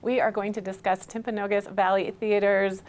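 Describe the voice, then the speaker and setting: A woman's voice: medium pitch, little pitch movement, normal speed, a little mellow. A woman hosting an upbeat TV studio interview, speaking in an enthusiastic, encouraging way. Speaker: TV interview host.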